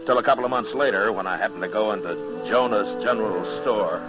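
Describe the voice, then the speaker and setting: An American man acting in an old-time radio western as a steady, plain-spoken frontier marshal with a laconic, firm manner. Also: on the low side, fast, over loud music, natural voice. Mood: reflective narration. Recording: dull vintage radio recording.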